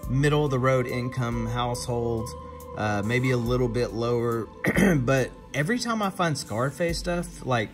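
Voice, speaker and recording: Male vlogger, mid-range voice, thinks out loud informally, prominent music bed. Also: medium pitch. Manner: folksy, chatty, thinking aloud. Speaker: vlogger buying storage units at auction. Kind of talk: casual vlog commentary. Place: partly inside a truck, hand-held filming of a laptop screen.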